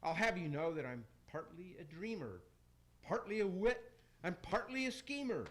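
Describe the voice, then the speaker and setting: Vain theatrical tyrant's ghost from hell, played by a man, declaiming radio-play rhymes with big pitch swings. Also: very animated, moderate pace, slight background noise. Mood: vain, excited. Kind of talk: amateur radio play acting.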